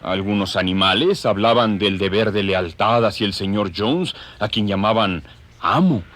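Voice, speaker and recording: A man's voice: lowish voice, moderate pace, a bit bright. A man narrating an audio drama in a scripted, dramatic storytelling style.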